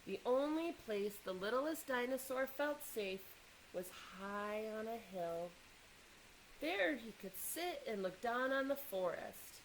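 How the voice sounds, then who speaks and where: A woman reading a children's picture book aloud in an expressive way, pausing to engage young listeners with questions and asides. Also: on the high side, slight background noise, rough recording.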